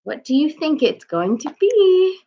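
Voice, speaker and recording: A young woman reads a picture book aloud for children. Her voice is on the high side and very animated, with a slow, measured pace. It is picked up by a webcam in a room at home. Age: young adult. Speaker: storybook reader. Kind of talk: expressive bedtime story reading for children. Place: small room at home, indoors. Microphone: webcam at arm's length. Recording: slightly dull home webcam sound.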